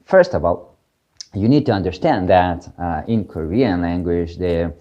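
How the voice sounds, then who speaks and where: A man's voice: low voice, expressive, a little slow, warm tone, muffled recording. A man from Ukraine, teaching a casual video lesson, hesitant, with many fillers and restarts. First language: Russian. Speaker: language teacher.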